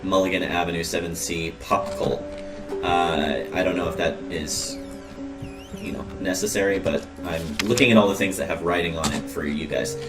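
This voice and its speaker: A man chatting through his gameplay in a lowish voice, rambling and joking as he goes. He sits a little off the mic, and clear game music runs behind him. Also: fairly even intonation, moderate pace, distant mic. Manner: rambling and jokey, lots of ums and uhs. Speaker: let's play host. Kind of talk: casual gameplay commentary.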